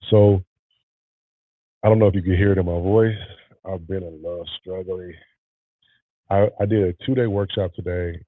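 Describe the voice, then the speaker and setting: Congested deep-voiced man, husky and gravelly. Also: dry recording, phone-quality sound. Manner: relaxed, talks to live viewers. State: nasally congested; tired. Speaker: podcast host. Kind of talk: casual livestream chat. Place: home studio.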